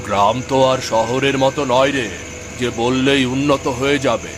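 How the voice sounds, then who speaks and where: Protective, gently scolding father, acted by a man. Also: mid-range voice, normal speed, noisy background, lightly acted. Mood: concerned, chiding. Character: protective, worried father of a grown son. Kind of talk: cartoon story dialogue.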